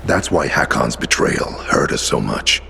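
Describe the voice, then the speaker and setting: A weary older leader from a video game. His voice is extremely low and full of gravel, with expressive swings in pitch. He speaks earnestly and reflectively, with faint music behind him. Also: measured pace, heavily acted. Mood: solemn, earnest. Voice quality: deep gravelly, breathy at times. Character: weary, gruff aging leader of a former hero band. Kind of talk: video game dialogue acting.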